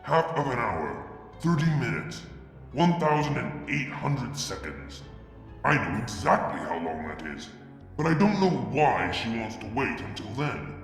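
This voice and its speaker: A man reading a fan fiction story aloud, in a measured, expressive way. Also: mid-range voice, vocal fry. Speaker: fanfic reader. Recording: echoey room with music. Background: music.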